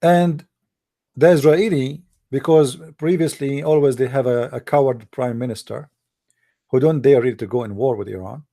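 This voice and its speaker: A man whose first language is Arabic, giving a heated casual monologue, often pausing and searching for words. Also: mid-range voice, gravelly, mellow. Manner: halting, searching for words, many fillers; heated, blunt opinions. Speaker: commentator.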